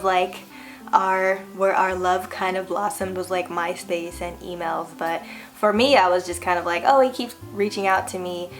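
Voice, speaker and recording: Woman from hawaii in her 30s vlogging, chatty, laughs a lot, says like constantly, faint music behind. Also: mid-range voice. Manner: chatty, laughs often, lots of "like". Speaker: vlogger host. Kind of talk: casual storytelling chat.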